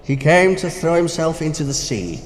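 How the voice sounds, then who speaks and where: An actor playing Death as a cynical, worldly, condescending figure, sardonic and declamatory in a theatrical style. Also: male voice, on the high side, lively intonation, normal speed, close to an everyday voice. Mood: wry, condescending. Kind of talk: theatrical stage morality play acting. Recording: echoing hall, faint noise.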